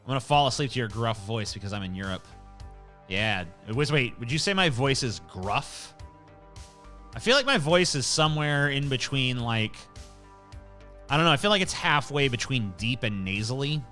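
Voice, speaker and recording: A man's voice: medium pitch, expressive, moderate pace, pushed and bright, faint music behind. A man hosting a livestream, reading chat comments aloud in a rambling, profane, casual way. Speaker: livestream host.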